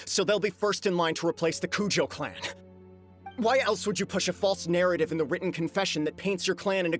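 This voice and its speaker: A man voicing a composed clan heir in a video game, speaking in a calm, measured, earnest way. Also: on the high side.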